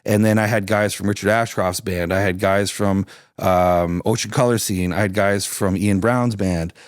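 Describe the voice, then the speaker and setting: A raspy-voiced man from Canada, chatting loosely as an interview guest with lots of restarts and fillers. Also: lowish voice, steady tone, moderate pace, no room sound, clean studio sound. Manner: rambling, many restarts and fillers. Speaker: singer-songwriter guest. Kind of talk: casual interview chat.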